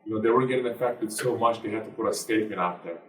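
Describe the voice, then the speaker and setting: A young man speaks with a Turkish accent in a low voice, earnest and halting, with plenty of fillers. He sounds a little far from the mic in a roomy space. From Turkey. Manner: earnest, halting, many fillers and broken grammar. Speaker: guest, basketball player and activist. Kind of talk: interview answers.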